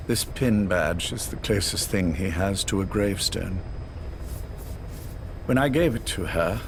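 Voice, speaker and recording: Measured, low-voiced spymaster amid loud rumble. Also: male voice, creaky. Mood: solemn. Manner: measured, formal, lecturing, self-assured. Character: cold, visionary older male spymaster called Major. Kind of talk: scripted dramatic game dialogue.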